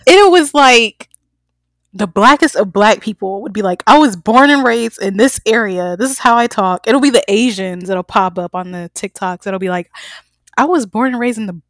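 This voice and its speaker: A young woman from the American South co-hosts a casual podcast, talking candidly and telling stories with animation. Her voice sits at a middle pitch and sounds dry and close to the mic. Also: normal speed, no echo. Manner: candid, animated storytelling with bursts of laughter. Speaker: podcast co-host. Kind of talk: casual chat.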